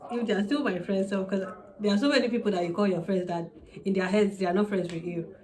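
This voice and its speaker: A young woman in her twenties, a native Yoruba speaker with a Nigerian accent, chatting casually and candidly to camera with quick asides. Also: mid-range voice, warm tone. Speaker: vlogger. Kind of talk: casual sit-down advice chat.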